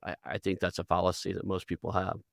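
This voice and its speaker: A man's voice: low voice, flat delivery, quick pace, creaky, no room sound, studio quality. A middle-aged man from Oklahoma with an Oklahoma accent, hosting a podcast interview in a rambling, folksy way. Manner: rambling, folksy, restarts often. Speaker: podcast host. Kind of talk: conversational interview.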